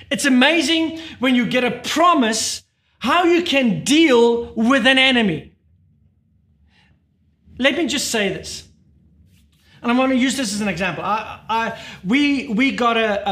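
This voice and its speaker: High-voiced man preaching a sermon. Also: sharp tone. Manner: preaching with urgent, emphatic repetition. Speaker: pastor.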